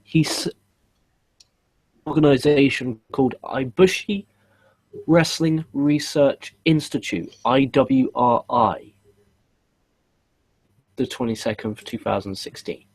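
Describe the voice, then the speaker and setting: A man co-hosting a podcast in casual chat, his voice hoarse and starting to give out. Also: medium pitch, takes it slowly, long pauses, darkish tone, dry recording, poor audio. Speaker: podcast co-host.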